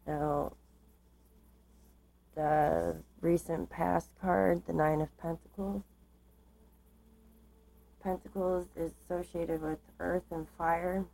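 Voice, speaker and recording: A woman giving a tarot card reading, reading aloud and explaining in a measured, halting way, her voice slightly starting to give out. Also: fairly low pitch, flat delivery, gravelly, dark tone, poor audio. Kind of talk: tarot reading, part read aloud from cards, part informal explanation.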